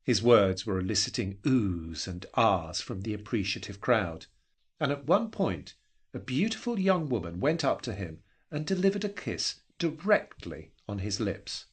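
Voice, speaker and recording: A man with a husky voice narrating a literary story in a measured, dramatic way with deliberate phrasing. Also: lowish voice, sing-song.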